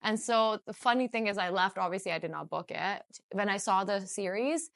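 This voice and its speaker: A woman's voice: medium pitch, brisk, gravelly, a bit bright, no echo, clean studio sound. A young woman actor with a Canadian accent, candidly recounting an audition with quoted dialogue. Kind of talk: personal testimony.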